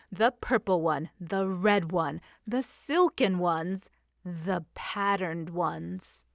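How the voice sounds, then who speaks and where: Slow-paced woman narrating a story. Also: medium pitch, no echo, studio quality. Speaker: fan fiction reader. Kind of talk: expressive scripted story narration.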